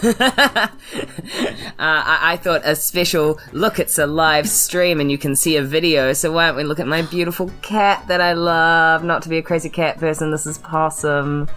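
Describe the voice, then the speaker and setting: A woman's voice: low voice, normal speed, a bit bright, faint music behind. A woman with a New Zealand accent in casual chat as a player on a game podcast.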